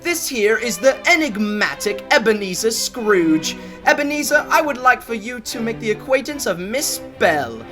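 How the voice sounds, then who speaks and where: A woman's voice: normal speed, hardly any pauses, music in the background. A young woman on a school stage playing a jolly, welcoming older male employer, speaking boisterously. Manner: boisterous, lively pitch swings. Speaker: stage actor. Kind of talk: school stage play acting.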